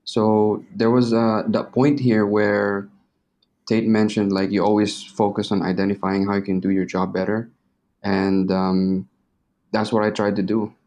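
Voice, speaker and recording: Low-pitched young man, casual and slangy. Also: little pitch movement, measured pace, a little mellow. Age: 20s. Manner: informal, slangy, swearing. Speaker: interview guest. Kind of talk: casual interview chat.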